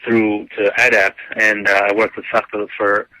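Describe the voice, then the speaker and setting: Easygoing young man, bright voice. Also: fairly low pitch, flat delivery, moderate pace, no echo, poor audio. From United States (grew up). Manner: easygoing, lots of "um" and "like" fillers. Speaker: guest. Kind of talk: casual interview answers.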